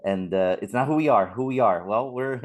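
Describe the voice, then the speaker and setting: A man's voice: fairly low pitch, a little fast. A man from Portugal introducing himself in a friendly way on a video call. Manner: friendly, laughing. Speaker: realtor.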